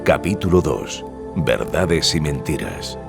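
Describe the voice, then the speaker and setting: A man's voice: extremely low pitch, big pitch swings, slow pace, creaky, music in the background. A man announcing podcast titles in a dramatic scripted style.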